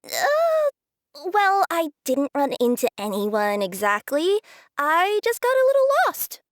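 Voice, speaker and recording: A woman's voice: high voice, huge pitch swings, slow pace, no echo, studio quality, exaggerated voice. A woman voicing an earnest young cat spirit courier in a video game, apologetic, hesitant and stammering. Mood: sheepish. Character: young female cat yokai courier, earnest, flustered, eager. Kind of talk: video game character acting.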